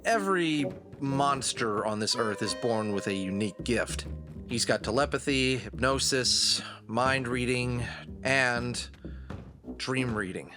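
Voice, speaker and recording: A man voicing a young, teasing, excitable fox adventurer in a cartoon audio drama. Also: mid-range voice, slow, music in the background, close to an everyday voice. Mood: explaining. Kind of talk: animated audio drama acting.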